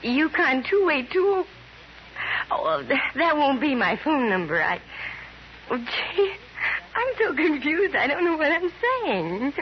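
A woman's voice: high voice, big pitch swings, measured pace. An actress playing a witty, smitten female private detective in a 1950s radio drama, with flustered, exclamatory delivery. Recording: muffled, noisy old radio recording.

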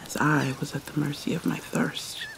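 A woman playing an anguished, proud vampire mermaid princess in a dramatic ASMR roleplay, soft, breathy and halting, often near a whisper. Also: deep voice, fairly even intonation, a little hiss or hum. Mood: anguished, haunted. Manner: halting, theatrical, mournful. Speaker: roleplay voice actor.

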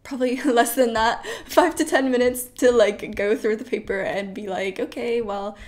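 A young woman in her late teens, a native Polish speaker, talks through exam tips in a relaxed vlog style. Her voice sits a little high, and her speech is peppered with "like" and "you know". She is filmed in her bedroom by a camera set up in front of her. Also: moderate pace. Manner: chatty, lots of "like" and "you know". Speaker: study vlogger. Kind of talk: casual advice vlog. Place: bedroom at home.

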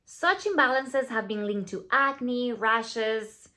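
A woman's voice: fairly high pitch, slow pace. A woman in her thirties from Venezuela with a Venezuelan Spanish accent, Spanish her first language, talking playfully to camera in a plain studio as a skincare founder. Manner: playful, animated, drops to asides and whispers. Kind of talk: scripted talk to camera. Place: plain studio backdrop, indoors.

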